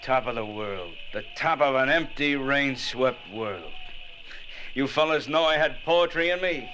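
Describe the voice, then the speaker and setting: A menacing gangster in 1940s radio drama style, with a medium-pitched, sharp, forceful voice. He taunts with mocking playfulness and flowery slang. There is faint noise from the old recording. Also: lively intonation, measured pace, rough recording. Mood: smug. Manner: sardonic, taunting, florid slangy talk.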